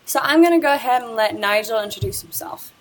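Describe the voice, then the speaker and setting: A woman's voice: on the high side, lively intonation, fast talker, creaky, slight background noise, poor audio. A young woman presenting a school project interview, reading a prepared script about the person she talked with. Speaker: student presenter.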